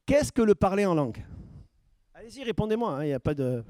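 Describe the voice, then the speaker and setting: A man's voice: high voice, very animated, fast, a little mellow, no room sound. A man preaching as pastor, questioning his congregation and building to emphatic points. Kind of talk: sermon teaching.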